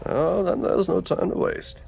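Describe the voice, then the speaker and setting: A man's voice: high voice, unhurried, vocal fry, heavy background noise, exaggerated voice. A man playing a wry, brooding supernatural detective in a scripted audio drama, with a theatrical delivery. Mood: wry, playful.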